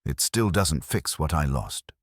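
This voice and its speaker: A man narrating as weary kitchen woman, extremely low pitch, guarded, terse, mystery audiobook. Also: expressive, a little slow, dry recording, studio quality, exaggerated voice. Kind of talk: detective audiobook dialogue.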